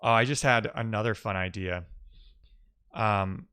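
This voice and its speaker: A man who streams live coding, chatting casually and musing out loud in loose fragments as he works. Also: fairly low pitch, steady tone, measured pace, smooth. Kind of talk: casual thinking-aloud coding stream chat.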